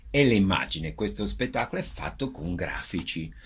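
Guy with a really deep creaky voice, theatre director explaining stuff on radio, stops and restarts a lot. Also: big pitch swings, fairly quick, slight background noise. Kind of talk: radio interview, explanatory talk.

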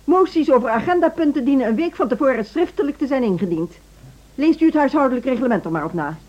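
An actress playing a formal, condescending woman who runs a music school in a radio drama, speaking in a measured, officious way. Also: high voice, expressive, a little mellow, lo-fi sound. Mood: stern. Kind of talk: Dutch radio drama acting.

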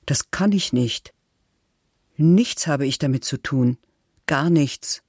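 A woman answering as an accused suspect under interrogation, low and husky, emphatic and indignant in denial. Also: lively intonation, slow pace, a little mellow, no room sound, clean studio sound. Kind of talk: interrogation testimony.

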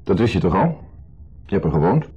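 An actor playing an insecure, jealous husband in a 1970s radio drama, mock-polite and sarcastic in a clipped way. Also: male voice, low voice, very animated, a little fast, dark tone, breathy edge, heavily acted. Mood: cold, possessive. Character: insecure, jealous husband, needling host masking hostility. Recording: muffled 1970s radio recording, music behind.

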